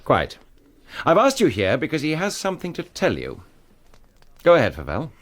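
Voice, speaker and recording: Radio drama: aristocratic English gentleman, commanding, curt, coldly defiant, highly animated intonation. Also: moderate pace. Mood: formal, controlled. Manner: clipped, commanding, coolly formal.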